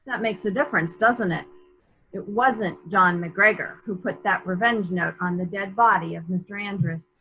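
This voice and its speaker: A woman playing a brisk, authoritative police inspector, questioning in a measured, probing way in a murder mystery reading. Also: medium pitch, bright, forceful tone, rough recording. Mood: pointed.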